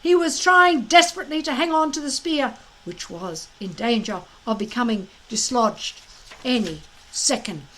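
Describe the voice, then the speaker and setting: A woman reading a children's story aloud as expressive narration, with dramatic emphasis and deliberate phrasing. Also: fairly high pitch, a little hiss or hum, poor audio.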